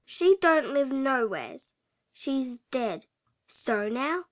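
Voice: high-pitched, lively intonation, extremely slow, pitched above the performer's own voice, heavily acted. A performer voicing a fierce, miserable little orphan girl in a storybook, defiant and sullen, with a working-class London accent. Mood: defiant, wretched. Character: fierce, miserable orphan Cockney street girl, young child.

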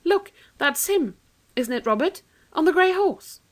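A woman's voice: extremely high pitch, expressive, measured pace, pitched above the performer's own voice. A woman voicing a sensible young girl, a cheerful, coaxing elder sister in a children's storybook. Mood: cheerful reassurance.

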